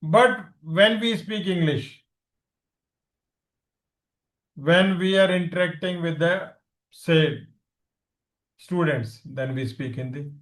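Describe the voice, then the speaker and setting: A man's voice: on the high side, takes it slowly, a bit bright. Indian man teaching, echoey room. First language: Punjabi. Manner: explanatory, asks students questions, mixes Hindi and English. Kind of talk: online class lecture.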